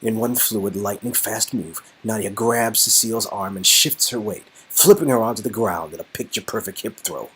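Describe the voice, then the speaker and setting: A man's voice: lowish voice, normal speed, hardly any pauses, breathy edge, poor audio. A man doing a dramatic reading of game dialogue, mixed with rambling, joking casual banter. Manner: animated, improvising, rambling when joking. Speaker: reader performing an interactive game's dialogue.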